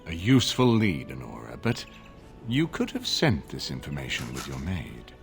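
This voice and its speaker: A seasoned older lord for a fantasy game. His voice is very deep and swings expressively, with paternal, shrewd authority. Light music runs underneath. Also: wildly swooping pitch, creaky, full character voice. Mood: measured, diplomatic. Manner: deliberate, authoritative. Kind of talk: dramatic fantasy video game acting.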